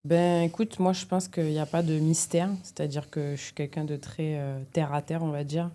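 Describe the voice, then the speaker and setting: Low, mellow-voiced woman. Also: little pitch movement, normal speed. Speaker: elite jiu-jitsu athlete, podcast guest. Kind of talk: explanatory interview talk.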